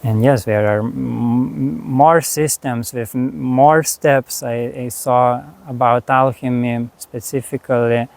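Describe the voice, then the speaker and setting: A man with a Lithuanian accent, a spiritual teacher talking slowly and haltingly to camera about his course, with many pauses and restarts. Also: mid-range voice, fairly even intonation, creaky, a little mellow. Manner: unhurried, halting, frequent fillers and restarts. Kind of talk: reflective monologue.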